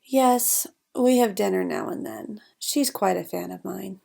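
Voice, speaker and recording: A woman's voice: medium pitch, measured pace, vocal fry, darkish tone. A woman playing a genteel, evasive lounge singer in her thirties in a noir audio drama, polite and theatrical. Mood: self-assured, puzzled.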